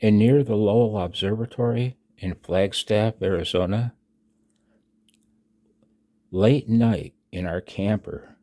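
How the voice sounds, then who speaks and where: Older American male, 60s, deep and unhurried, reading a personal statement for a hobby vlog with emphatic, dramatic delivery. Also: warm tone. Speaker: amateur radio vlogger. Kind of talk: reading own written announcement aloud with asides.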